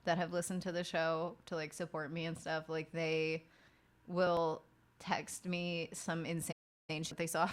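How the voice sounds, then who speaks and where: Woman streamer, lower voice, chatty and profane, rambling filler-heavy casual talk. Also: little pitch movement, measured pace. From outside the United States. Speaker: streamer and podcast host. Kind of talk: casual late-night chat while working.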